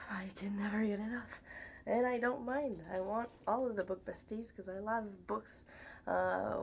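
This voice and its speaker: A woman's voice: mid-range voice, vocal fry, slight background noise. A young woman from Montreal with a Quebec French accent, chatting casually to camera about books, sounding nasal from a blocked nose. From Montreal, Canada. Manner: chatty, rambling, self-deprecating. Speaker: BookTube vlogger. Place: at home, indoors.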